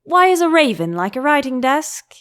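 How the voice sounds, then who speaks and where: A woman's voice: high-pitched, big pitch swings, normal speed, a bit bright, pitched above the performer's own voice. A woman voicing an eccentric, grumbling storybook man who is blunt, contrary and fond of riddles. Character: eccentric, grumbling, rude male tea-party hatter.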